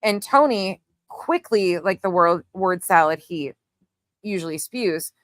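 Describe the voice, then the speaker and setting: A woman's voice: lowish voice, lively intonation, a little slow, fairly bright tone, no room sound, studio quality. A woman hosting an opinionated online case commentary, speaking in a pointed, emphatic, argumentative way. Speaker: commentary show host.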